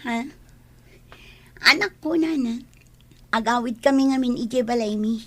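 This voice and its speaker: A woman's voice: on the high side, a little slow, a bit bright. A woman acting a meek, downcast young mother in an audio drama. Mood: meek, sorrowful. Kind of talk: quiet audio drama dialogue.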